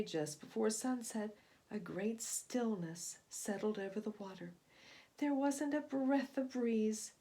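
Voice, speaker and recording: A woman reading a picture book aloud to young children in expressive storytelling narration. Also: fairly high pitch.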